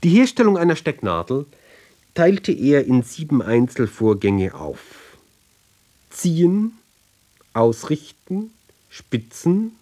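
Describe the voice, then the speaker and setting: A man giving a sober, measured literary reading for radio, phrase by phrase with deliberate pauses. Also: fairly high pitch, dark tone. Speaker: reader of literary ballads.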